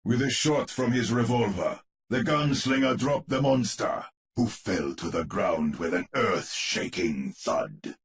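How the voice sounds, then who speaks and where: A grave gothic storyteller with a very deep, creaky voice. He narrates a horror tale for a game with measured, theatrical menace, his pitch swooping dramatically through each phrase. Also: animated. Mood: ominous, dramatic.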